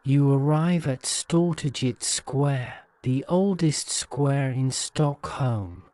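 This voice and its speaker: Slow, smooth man narrating a sleep story. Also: medium pitch, warm tone. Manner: soothing, measured.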